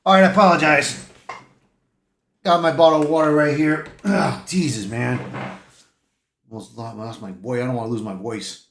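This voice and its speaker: A man's voice: mid-range voice, steady tone, normal speed, long pauses, a bit bright, roomy sound, a little hiss or hum. A man hosting a livestream, reading articles aloud with casual, opinionated commentary and the odd stumble over words. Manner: informal, opinionated, punctuated with "bro", "man" and "dude". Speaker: livestream host.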